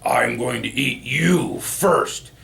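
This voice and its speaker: A man's voice: medium pitch, a bit bright, echoey room, voice pushed up, full character voice. A man voicing a horrible, greedy, bullying troll from a storybook, with a growling, menacing delivery. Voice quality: gravelly, growling. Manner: slow, bellowing, menacing. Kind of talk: storybook character voice.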